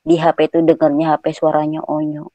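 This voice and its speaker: A woman from West Java, chatting as a guest fan in an earnest, unhurried way. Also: low voice, completely flat delivery, warm tone, no echo, muffled recording. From Indonesia (Subang, West Java). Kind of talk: casual chat.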